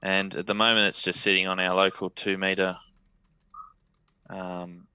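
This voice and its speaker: An Australian man on a video call, casually showing off his radio station as he works the software. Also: deep voice, moderate pace, clean tone, no room sound. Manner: relaxed, talks while operating radio software.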